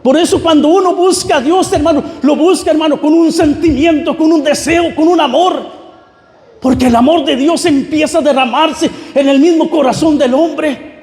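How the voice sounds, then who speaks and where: Guy preaching a fervent church sermon, really high voice, big echoey hall, keeps urging the congregation and repeating himself. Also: normal speed, darkish tone. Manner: exhorting, often addressing the congregation directly; fervent, repetitive phrasing. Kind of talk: Pentecostal-style sermon. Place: large reverberant room, church service. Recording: echoey hall with long reverb.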